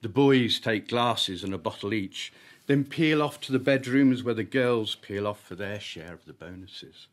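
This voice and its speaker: Guy with a lowish voice reading his short story live, deliberate punchy phrasing, comic timing. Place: live literary event at an arts venue.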